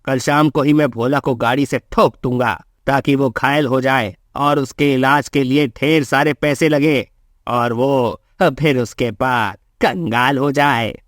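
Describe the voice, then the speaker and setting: A man acts out a scheming, boastful milk seller from a cartoon moral tale. He speaks slowly in a middle-pitched male voice, gloating over every phrase. The recording is dry, with little room sound. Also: fairly even intonation, no echo, natural voice. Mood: bitter, plotting. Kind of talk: Hindi moral-story cartoon acting.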